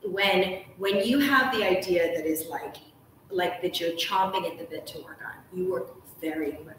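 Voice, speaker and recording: A woman's voice: lowish voice, normal speed, fairly bright tone. A woman hosting a casual, friendly interview with enthusiasm and rambling questions. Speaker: host, interviewer. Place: ornate hotel room, indoors. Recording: distant, echoey room, background noise.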